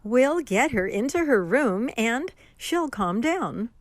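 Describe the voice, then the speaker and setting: A woman playing a brisk, authoritative asylum nurse in an audio horror drama. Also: a little high, big pitch swings, dry recording. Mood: calm authority.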